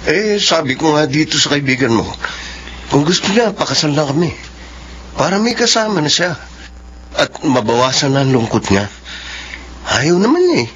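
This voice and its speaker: An actor playing a gentle, earnest young boyfriend, in a radio drama. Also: male voice, a little high, very animated, moderate pace, long pauses, lo-fi sound, slight background noise.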